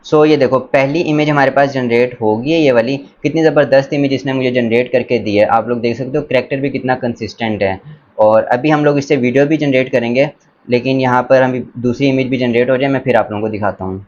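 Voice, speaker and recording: A man talks viewers through a screen tutorial casually and enthusiastically, dropping in English words. His voice is middling in pitch, on a dull recording with faint noise behind it. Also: steady tone, darkish tone. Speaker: tutorial presenter.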